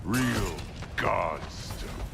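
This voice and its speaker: A brutish god of thunder for a video game. The voice is gruff and fairly low, slow in pace, swinging widely as he brags and taunts. Loud music plays underneath. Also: expressive, full character voice. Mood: taunting. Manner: boastful, taunting. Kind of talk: dramatic video game acting.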